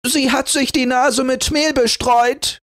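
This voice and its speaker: Man playing a shrill, spiteful young woman. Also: animated, unhurried, no echo, clean studio sound. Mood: furious. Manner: shrill, scolding. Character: spiteful, vain young woman, quick to rage.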